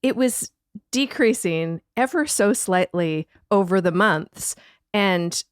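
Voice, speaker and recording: A middle-aged woman chatting anecdotally as a podcast guest, thinking aloud in a halting way. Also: medium pitch, expressive, clean tone, dry recording, studio quality. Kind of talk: casual anecdotal chat. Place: indoor lounge studio, on sofa. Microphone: podcast microphone on boom arm.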